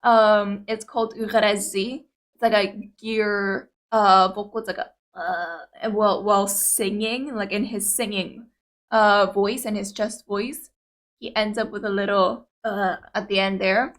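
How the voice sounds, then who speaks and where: A woman's voice: medium pitch, fairly even intonation, a little slow. A young woman with a Greek Cypriot accent, whose first language is Greek, chatting casually and rambling to viewers as a reaction video host. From Cyprus. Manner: chatty, rambling, hesitant with many fillers.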